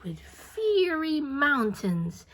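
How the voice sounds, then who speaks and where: A woman reading a children's book aloud as expressive rhyming narration, deliberate and singsong, drawing out words. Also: high voice.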